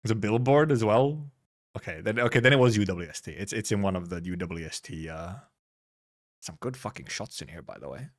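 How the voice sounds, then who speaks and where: Animated male streamer reacting, rambling. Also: fairly low pitch, brisk. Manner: rambling, repeats himself, playful, swears casually. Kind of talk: casual game trailer reaction commentary. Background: faint music.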